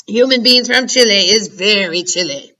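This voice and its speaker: A woman voicing a huge, greedy male giant who booms and shouts in broken grammar. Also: normal speed, recorded from a distance, lightly acted. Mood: menacing. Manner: booming, shouting, ungrammatical giant talk.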